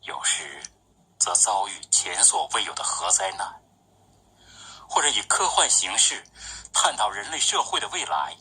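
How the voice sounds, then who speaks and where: A man reading his own poetry as a literary recitation, with measured, deliberate phrasing. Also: fairly low pitch, sing-song, bright, forceful tone, slightly rough, rough recording.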